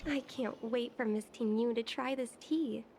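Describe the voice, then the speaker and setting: A woman's voice: on the high side, fairly even intonation, moderate pace, vocal fry, dark tone, a little hiss or hum. An actress playing an earnest young woman who owns a tea house in a video game. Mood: wistful, hopeful. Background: game music.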